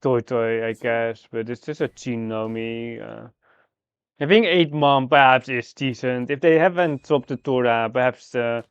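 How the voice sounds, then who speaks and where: A man live-streaming a game, thinking aloud through his moves in a halting, fragmented way. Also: medium pitch, clean tone, no room sound, dull audio. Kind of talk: live mahjong gameplay commentary, thinking aloud.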